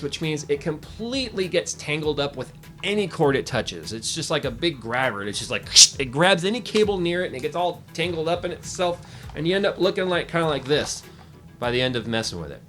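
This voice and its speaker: A man's voice: fairly high pitch, a bit bright. A man giving a casual, opinionated, conversational product review as host.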